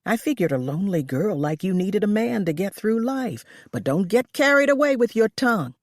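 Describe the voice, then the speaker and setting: A woman reading aloud, voicing a cruel wealthy female employer who yells and screeches at her maid. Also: big pitch swings, moderate pace, dry recording, studio quality. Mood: cold. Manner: shrill, shouting.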